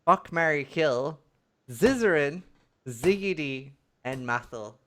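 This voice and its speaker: A man's voice: on the high side, expressive, slow, a bit bright. A man hosting a casual live stream, reading viewer questions aloud in a jokey way.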